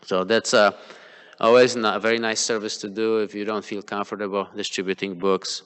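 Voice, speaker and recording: A man whose first language is Polish gives a devotional talk in a temple hall, full of pauses, fillers and restarts. His voice sits a little low but sounds bright and crisply clear. A long hall reverb trails behind every phrase. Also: flat delivery. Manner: hesitant, many fillers and restarts. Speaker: temple class speaker. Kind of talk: devotional class talk, personal examples.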